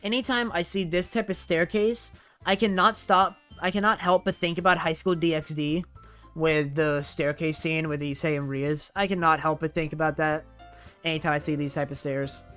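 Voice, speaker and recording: A man reads a visual novel aloud in a smooth, clear voice, stopping now and then for chatty asides. He often restarts his sentences and corrects himself. Light music runs faintly underneath. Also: fairly high pitch, steady tone, normal speed, fairly bright tone. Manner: chatty, self-correcting reader, laughs at himself. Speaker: gamer playing a visual novel. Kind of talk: let's play, reading game text aloud with casual commentary.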